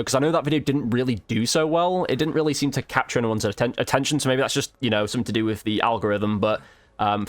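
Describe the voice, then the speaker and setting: A man's voice: medium pitch, a bit bright. A man commentating casually over gameplay as a content creator, chatting informally and thinking aloud.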